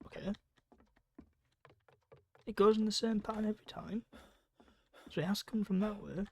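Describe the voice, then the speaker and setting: A woman plays through a game and talks to herself as she goes. Her medium-pitched voice is casual and reactive, slipping between muttered asides and exclamations, with some mild cursing at the game. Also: darkish tone, a little hiss or hum. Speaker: gamer narrating own playthrough. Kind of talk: casual gaming commentary, muttering to self.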